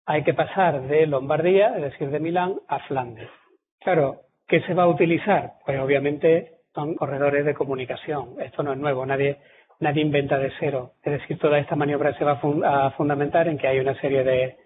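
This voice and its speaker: A man's voice: fairly high pitch, steady tone, fairly quick. A man with a southern Spanish accent from Córdoba giving a history lecture at an academy, talking conversationally with fillers and restarts. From Córdoba, Spain. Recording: tinny lo-fi audience recording with faint noise.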